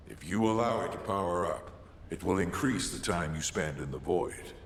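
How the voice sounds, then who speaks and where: In a reverberant hall with faint noise, a learned sci-fi game advisor. His voice is very deep and gravelly, and he speaks formally and deliberately, solemn and pensive. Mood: serious, urgent. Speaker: mission advisor. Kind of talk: dramatic video game dialogue.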